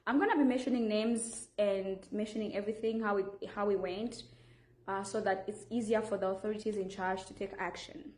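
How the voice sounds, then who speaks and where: A woman's voice: medium pitch, fairly even intonation, a little fast, a little mellow, reverberant. A woman from Rwanda with a Rwandan accent, making an earnest, insistent appeal straight to camera and often restarting her sentences. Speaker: vlogger making a public appeal.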